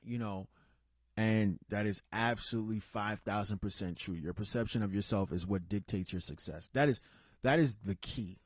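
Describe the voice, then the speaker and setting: Guy hosting a chill podcast, lowish voice, dry close sound, rambles and restarts a lot. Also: moderate pace, no echo. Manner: rambling and conversational, with many restarts and asides; reads out shout-outs to live listeners. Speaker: podcast host. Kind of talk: casual unscripted podcast chat.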